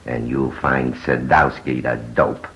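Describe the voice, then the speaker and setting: A man playing a cynical, tough-talking underworld informant with scornful, slangy delivery and a gravelly voice in an old radio crime show. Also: a bass voice, level tone, takes it slowly, fairly bright tone, exaggerated voice. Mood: scornful, hard-boiled. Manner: slangy, contemptuous, hard-boiled. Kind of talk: 1950s radio crime documentary monologue. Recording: muffled old radio broadcast.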